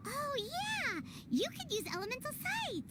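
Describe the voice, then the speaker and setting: Super high squeaky little fairy girl, excitable game sidekick, loud ambience behind. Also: measured pace, heavy background noise, full character voice. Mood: excitable, surprised. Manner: speaks of self in third person, exclamatory. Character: tiny childlike female fairy sidekick, excitable, easily indignant. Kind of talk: video game character voice acting.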